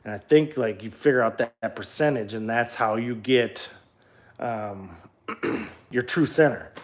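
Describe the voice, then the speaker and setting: A man's voice: mid-range voice, a little slow. A man in his thirties from Minnesota with a Minnesotan accent, chatting casually on a live stream while working in his home garage, rambling and self-deprecating, talking into a phone. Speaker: livestreaming truck builder. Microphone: phone held at arm's length, later set down away.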